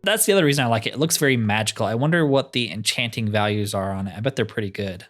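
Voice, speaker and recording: A man's voice: lowish voice, rapid-fire, a bit bright, soft background music. A man giving casual gameplay commentary in a laid-back way, musing to himself as he plays. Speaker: gaming streamer.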